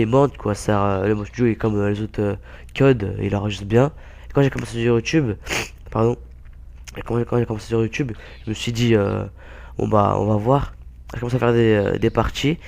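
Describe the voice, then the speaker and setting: Hoarse young man with a cold. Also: mid-range voice, a little mellow. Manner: halting, many fillers and restarts. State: hoarse, stuffy-nosed with a cold. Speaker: gaming YouTuber. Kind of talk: casual gameplay commentary.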